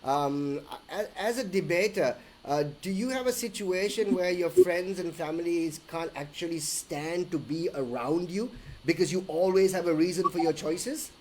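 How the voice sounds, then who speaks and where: A man's voice: high voice, moderate pace, a little hiss or hum. Chatty man hosting a podcast interview. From Sri Lanka. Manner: chatty, frequent restarts and fillers. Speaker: interviewer host.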